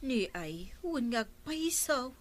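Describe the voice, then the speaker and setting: Radio comedy councilor, down-to-earth delivery, slightly high-pitched. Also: female voice, very animated, slow pace. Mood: matter-of-fact. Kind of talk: Ilocano radio comedy drama acting.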